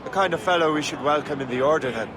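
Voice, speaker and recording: A man's voice: fairly high pitch, quick pace, noisy background, lightly acted. A man playing a cool, war-weary young sea captain in a historical game, speaking in a dry, understated way. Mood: curious, dry. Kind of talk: game drama dialogue.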